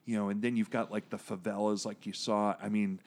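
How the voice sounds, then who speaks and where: Male podcast co-host, low voice, loose and chatty, prone to tangents and crude jokes. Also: little pitch movement, brisk, a little mellow. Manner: rambling, digressive, many fillers and restarts; jokey, profane asides. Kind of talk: casual podcast chat about comics.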